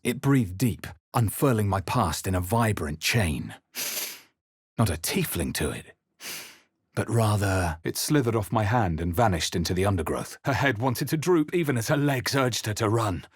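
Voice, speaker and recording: A man playing a wary, grave fantasy adventurer in a video game, with deliberate, theatrical delivery. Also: on the low side, expressive, slightly rough, no room sound, clean studio sound. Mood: grave, dramatic. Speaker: video game player-character voice actor. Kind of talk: dramatic scripted game narration and voice lines.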